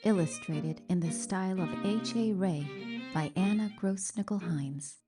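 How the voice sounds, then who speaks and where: A woman reading a children's picture book aloud in an expressive, deliberate storytelling manner. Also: on the low side, music in the background. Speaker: storybook reader.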